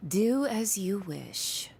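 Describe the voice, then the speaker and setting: A woman's voice: mid-range voice, vocal fry, darkish tone, exaggerated voice. A woman voicing a cool, haughty villainess who speaks with elegant, mocking condescension in a video game. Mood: smug, dismissive. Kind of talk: video game story dialogue.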